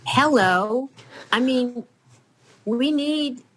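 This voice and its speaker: An older woman chatting as a podcast guest, rambling and halting, with many fillers and restarts. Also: high voice, a bit bright. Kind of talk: casual chat.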